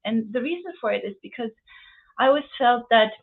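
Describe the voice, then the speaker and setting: Woman, 40s, panelist. Gravelly, earnest, heard over a narrow phone-like line. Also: on the high side, animated, brisk. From Romania. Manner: earnest, fluent with many fillers. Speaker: panelist, UN office chief. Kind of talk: webinar panel talk.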